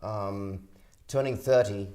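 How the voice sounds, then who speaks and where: A man's voice: fairly low pitch, takes it slowly, warm tone. Stammering man in his forties. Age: 40s. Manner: stammering restarts, reflective. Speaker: co-host, actor. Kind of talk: casual chat.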